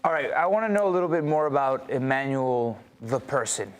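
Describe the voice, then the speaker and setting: A man's voice: medium pitch, lively intonation, fairly quick. A man co-hosting a morning football show, asking questions in a teasing, jokey way. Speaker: show host. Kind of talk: casual sports talk.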